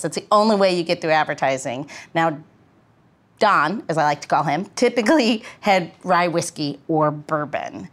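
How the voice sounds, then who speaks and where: Woman podcast host, lowish voice, chatty and informal.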